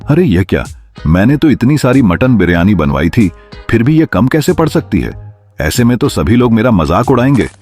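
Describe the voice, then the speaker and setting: Man acting a husky, flustered village headman. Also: mid-range voice, sing-song, moderate pace, a little mellow, soft background music. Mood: alarmed, worried. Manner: dramatic, worried exclaiming. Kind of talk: Hindi cartoon voice acting.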